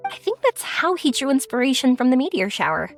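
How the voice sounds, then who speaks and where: A woman's voice: high voice, big pitch swings, a little fast. A woman playing a cheerful, sincere, bubbly young woman in a video game, speaking expressively over music. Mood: earnest, bright. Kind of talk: video game character acting.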